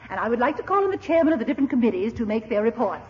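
A woman's voice: fairly high pitch, sing-song, rapid-fire. An actress playing a gushing, managing society chairwoman, effusive and fluttery in old-time radio comedy style. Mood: bustling. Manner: effusive, fluttery, sugary, bossy. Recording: muffled, noisy vintage radio recording.

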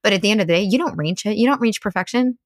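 A woman's voice: medium pitch, rapid-fire, no room sound, clean studio sound. An American woman speaking as a mental coach, giving earnest, encouraging advice in a conversational style. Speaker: mental coach, podcast guest.

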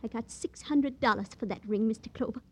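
An actress playing a guarded, plaintive eighteen-year-old working-class girl in a 1950s radio crime drama, speaking in simple, halting phrases. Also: fairly high pitch, slightly rough. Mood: defiant, hurt.

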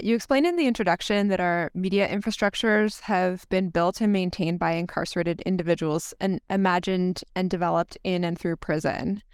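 A woman's voice: on the low side, darkish tone, clear, resonant tone, dry recording, clean studio sound. A woman hosting a podcast, asking prepared interview questions in a friendly way, opening with an "um". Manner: friendly, scripted questions with "um" openers. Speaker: podcast host.

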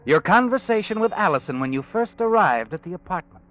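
An actor playing an all-knowing, ominous narrator, insinuating and dramatic in 1940s radio mystery style. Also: male voice, high-pitched, lively intonation, brisk. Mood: ominous, taunting. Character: ominous, all-knowing narrator taunting a killer in second person. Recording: dull old radio, faint music behind.

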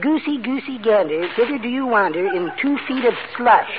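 Meek giggly henpecked husband, old radio comedy, super swoopy voice, muffled and really noisy. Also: male voice, extremely high pitch, lively intonation, moderate pace. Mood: meek complaint. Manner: mild, mincing, giggly delivery. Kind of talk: 1940s radio comedy acting.

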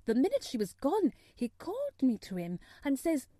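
Female reader as young maid, anxious, deferential, homely dialect, animated pitch, mellow tone, storybook character. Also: moderate pace, dry recording, studio quality. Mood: excited. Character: humble, anxious young Yorkshire housemaid.

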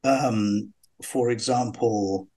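A man hosting an interview, posing conversational questions about a book with a few halting restarts. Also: fairly low pitch, steady tone, mellow. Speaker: podcast interviewer.

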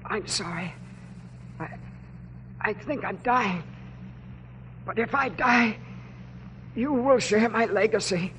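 An actor playing a young, idealistic dying soldier in old radio drama, weak and feverish. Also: male voice, high-pitched, slow, pauses often, fairly bright tone. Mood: delirious, dying. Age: young adult. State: weak, fading. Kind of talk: 1940s-50s radio drama acting. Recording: faint, noisy old radio recording.

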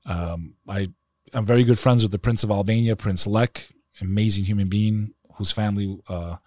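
A man with a very deep voice and a New York accent, speaking as a podcast guest in passionate, emphatic conversation. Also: fairly even intonation, moderate pace, no echo, clean studio sound. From United States.

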